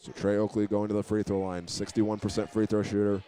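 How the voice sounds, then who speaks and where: A man doing live play-by-play commentary of a high school basketball game as the announcer. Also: flat delivery, a little fast, dark tone. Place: indoor high school gymnasium. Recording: noisy gym ambience behind.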